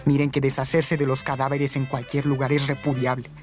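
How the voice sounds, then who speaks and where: Even-toned male narrator over music. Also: medium pitch, fairly quick. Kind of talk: scripted documentary radio narration, reading press quotes.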